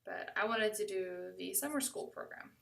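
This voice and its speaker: A woman's voice: mid-range voice, moderate pace, gravelly, fairly bright tone, recorded from a distance. An American woman telling a first-person story in a conversational way, acting out bits of quoted dialogue.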